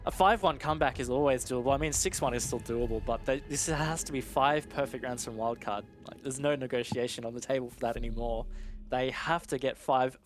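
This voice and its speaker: A man's voice: mid-range voice, brisk, soft background music. A man giving analytical live commentary on an esports match in a conversational, explanatory way. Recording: clear game noise, gunfire behind.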